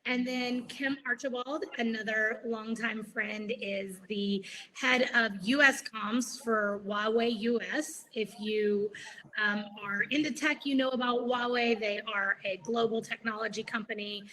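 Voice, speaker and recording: A woman with a bright, clear, fairly high voice moderates a panel over a video call. She chats along loosely, with plenty of ums and uhs. A faint hiss sits under her voice. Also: steady tone, measured pace, poor audio. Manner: chatty, frequent filler words.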